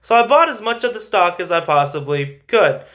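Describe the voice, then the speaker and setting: Guy doing casual stock talk as a show host, kinda high bright forceful voice, leans on his words. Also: sing-song, moderate pace. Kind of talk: informal stock commentary to viewers.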